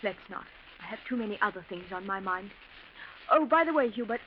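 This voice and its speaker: A muffled vintage radio recording with faint noise carries a woman acting as a bossy, nervy English wife. She has a British accent and speaks fast and crisply commanding, in the manner of a 1940s thriller. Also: mid-range voice, expressive, lightly acted. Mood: upset, edgy.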